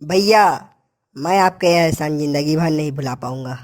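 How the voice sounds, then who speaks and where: A man's voice: a little high, moderate pace, close to an everyday voice. A man voicing a poor, humble village labourer in a cartoon story, plaintive and earnest. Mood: grateful.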